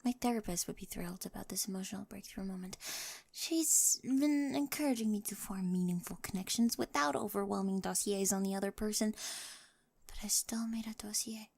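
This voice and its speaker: A woman's voice: medium pitch, brisk, gravelly, a little mellow, breathy edge. A woman playing an awkward, eager, nerdy teenage girl with a crush in an ASMR roleplay, chatty and rambling, often dropping toward a whisper. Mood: shyly grateful.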